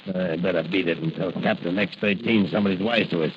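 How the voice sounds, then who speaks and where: An actor playing a smug, crooked saboteur henchman with gravelly, slangy gangster talk in 1940s radio style. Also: low-pitched, steady tone, fast, natural voice. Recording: muffled old radio recording, faint noise.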